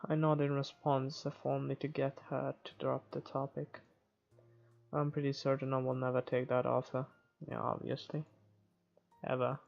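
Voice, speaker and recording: Creaky-voiced game player reading aloud over music. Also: medium pitch, flat delivery, normal speed. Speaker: video game playthrough narrator. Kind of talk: reading visual novel narration aloud with offhand comments. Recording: muffled recording with music behind.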